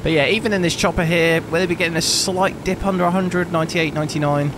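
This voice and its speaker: A man with a hoarse, lost voice, hosting a PC gaming channel and commenting casually while he plays, thinking aloud. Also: a little high, quick pace, background noise. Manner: relaxed, rambling, thinking aloud. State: hoarse, losing his voice. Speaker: PC gaming benchmark channel host.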